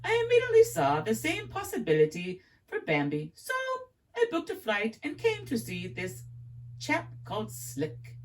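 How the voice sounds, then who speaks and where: A woman's voice: fairly high pitch, wildly swooping pitch, normal speed, distant mic, pitched above the performer's own voice. A woman voicing a gossipy, genteel English music promoter in a put-on English accent that she calls poor, read aloud from a novel. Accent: put-on English accent, self-described as poor. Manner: genteel, gossipy, a bit pompous.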